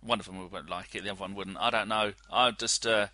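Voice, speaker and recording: Male podcast panellist, jokey teaser, very sharp bright voice, dry recording, football banter. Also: fairly low pitch, steady tone, brisk, dry recording. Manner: joking, teasing.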